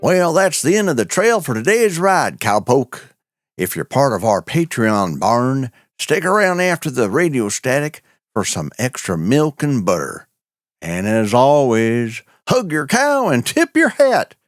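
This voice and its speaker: A man's voice: mid-range voice, very animated, a little slow, no room sound, studio quality. A man hosting and narrating a cowboy audio show in a folksy, homespun way.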